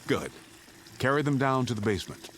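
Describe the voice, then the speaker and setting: A man's voice: medium pitch, big pitch swings, brisk, over music, natural voice. An American man acting in a radio drama as an urgent, middle-aged father who takes charge and steadies his family. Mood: urgent, commanding.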